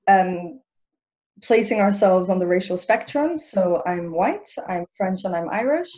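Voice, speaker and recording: A woman's voice: medium pitch, warm tone. A young woman co-hosting a seminar, introducing herself in a casual, informal, slightly hesitant way. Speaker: seminar co-host. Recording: dull, lo-fi online call audio.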